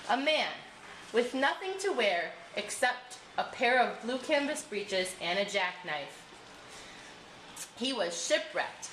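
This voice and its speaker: Expressive woman storyteller in a roomy space. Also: on the high side. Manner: expressive read-aloud delivery. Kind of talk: scripted story narration for a puppet show. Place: school room. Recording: roomy room sound with faint noise.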